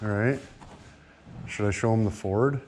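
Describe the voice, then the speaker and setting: A Canadian man bantering casually and profanely in a joking sales-pitch way, as a vlog host. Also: a little slow, a little mellow, a little hiss or hum. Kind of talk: casual banter, walkie-talkie chatter.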